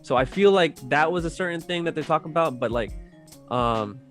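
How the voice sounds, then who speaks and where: A man's voice: fairly high pitch, expressive, brisk. A man chatting casually in loose slang, swearing freely as he gives his opinions. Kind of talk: casual chat about video game movies. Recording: music playing behind the voice. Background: music.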